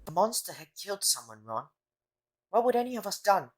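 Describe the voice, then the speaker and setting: An earnest, curious young schoolboy voiced by a woman in an audio drama. The voice sits at a middling female pitch and carries an urgent, sincere manner. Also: sing-song, a little fast, slightly rough. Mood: troubled. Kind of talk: fan audio drama acting.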